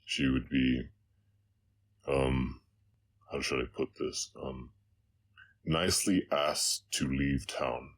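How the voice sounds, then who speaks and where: A man playing a gentle, haunted orc cowboy in an intimate audio roleplay, speaking hesitantly with a slight Southern American accent and a very deep voice. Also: steady tone, full character voice. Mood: somber, tender. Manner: halting, hesitant, reflective.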